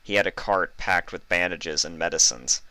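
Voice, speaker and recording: Low-voiced man narrating, bright and dry. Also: normal speed, vocal fry, no echo. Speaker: fan fiction narrator. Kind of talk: scripted story narration.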